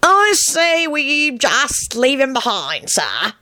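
A woman voicing a spindly, melancholy, grumbling man in a story, with a croaky voice. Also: high voice, big pitch swings, slow, sharp tone, pitched above the performer's own voice, full character voice.

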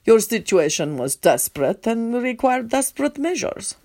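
Lady doing a stern vampire prince guy, calm n formal, firm but kind, audiobook style. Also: a little high, moderate pace, a little hiss or hum. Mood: kindly, firm.

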